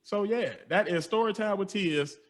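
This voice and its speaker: A young man with a notably high voice, telling a story on a podcast. He talks in loose, slangy, animated bursts and laughs along with his own tale. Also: moderate pace. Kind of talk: casual storytelling chat.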